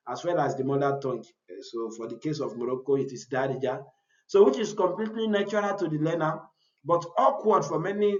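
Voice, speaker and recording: Guy giving a conference paper talk, kinda high voice, echoey dull audio. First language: Yoruba. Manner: explanatory, asks and answers his own rhetorical questions. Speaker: researcher presenting a paper. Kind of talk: academic conference presentation. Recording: roomy, dull-sounding audio.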